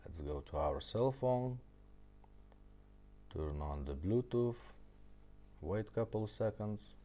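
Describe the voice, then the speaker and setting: Deep-voiced man giving a casual demo. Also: lively intonation, slow pace, dark tone. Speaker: repair shop presenter demonstrating a product. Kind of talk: casual product demonstration, explaining step by step.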